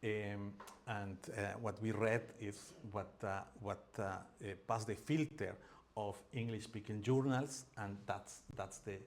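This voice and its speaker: A man's voice: on the low side, fairly even intonation, unhurried, slight background noise. Hesitant Peruvian-accented man presenting. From Peru. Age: middle-aged. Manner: hesitant, many fillers and restarts. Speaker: academic presenter. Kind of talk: seminar presentation.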